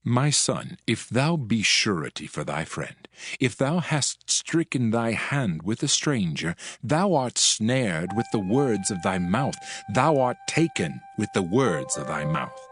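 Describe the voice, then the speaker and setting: A man's voice: on the low side, big pitch swings, slow. A man reading dramatised scripture like a father counselling, in a solemn, admonishing way. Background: music.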